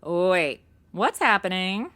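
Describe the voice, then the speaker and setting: A woman's voice: on the low side, lively intonation, takes it slowly, a bit bright. An actress playing a flippant, ditzy modern young woman, sassy and unfazed, in a comedic audio drama. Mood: alarmed. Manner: sassy, exaggerated modern slang delivery.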